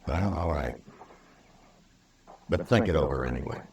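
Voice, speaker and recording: A weary frontier marshal with an extremely low voice. He talks in terse, measured, sardonic lines in old-time radio western style. The recording is roomy and distant. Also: lively intonation, darkish tone, exaggerated voice. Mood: grave, regretful. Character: stern, weary Old West lawman, male marshal.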